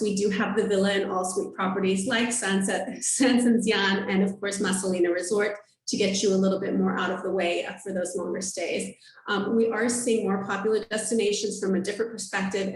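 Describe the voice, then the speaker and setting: A woman's voice: medium pitch, steady tone, fairly quick, echoey room, far from the mic, rough recording. A woman giving an upbeat travel presentation on a video call, with frequent ums and uhs. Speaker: tour operator presenter. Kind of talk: webinar sales presentation.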